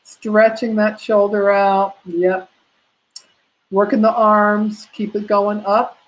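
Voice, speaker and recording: A woman's voice: medium pitch, flat delivery, slow pace, poor audio. A woman teaching prenatal massage in a live online class. She talks in a casual, chatty way with many fillers and talks to herself while she clicks through slides.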